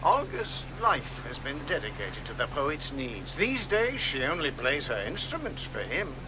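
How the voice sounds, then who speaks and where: An actor playing a calm gondolier in a radio drama, speaking in a gently lyrical, storytelling way. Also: male voice, a little high, measured pace. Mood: reflective. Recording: radio broadcast with steady background noise.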